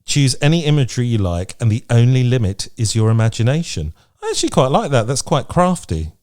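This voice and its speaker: A man's voice: medium pitch, big pitch swings, a little fast, a little mellow. A British man co-hosting a podcast, chatting casually and reading out lists in a frank, playful way. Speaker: podcast co-host. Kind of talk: casual chat, reading out from a list.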